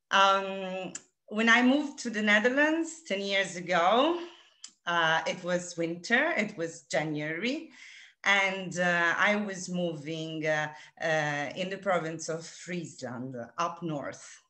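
A woman's voice: on the low side, sharp tone. A woman from Italy with an Italian accent, giving a virtual tour presentation over a video call with many hesitant fillers. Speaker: tour director. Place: home room.

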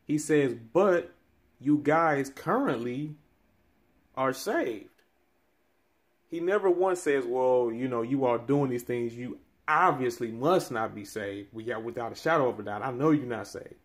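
A man in his thirties talks through scripture in an earnest, preacherly way, his voice climbing higher and stronger whenever he drives a point home. His pitch sits in the ordinary middle range for a man. He records on a phone held close in a small room. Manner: preacherly, rising in pitch and force on key phrases. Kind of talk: earnest Bible-teaching commentary. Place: small room indoors by window blinds. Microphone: phone camera held close.

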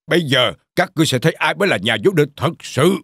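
A man's voice: medium pitch, fairly bright tone, husky, dry recording, heavily acted. A man voicing a scheming, vengeful wolf villain in a cartoon, gloating and taunting with menace.

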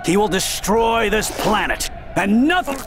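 High, ranting game villain. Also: male voice, expressive, unhurried, creaky, noisy background, exaggerated voice. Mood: defiant menace. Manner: ranting, dramatic. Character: defiant, menacing male villain threatening the planet. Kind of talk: video game voice acting.

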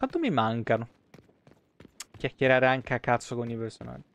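A man's voice: mid-range voice, very animated, moderate pace, creaky, a bit bright. A man in his thirties with a Roman Italian accent, giving casual, slangy, sweary live commentary on a video game. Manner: slangy, swearing, muttering asides. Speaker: game streamer. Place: small room, indoors.

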